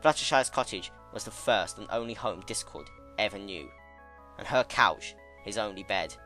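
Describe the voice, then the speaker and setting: A man reading a story as a dramatic narrator, solemn and measured in his storytelling. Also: fairly low pitch, lively intonation, bright, forceful tone, faint music behind. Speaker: narrator, fan-fiction reader.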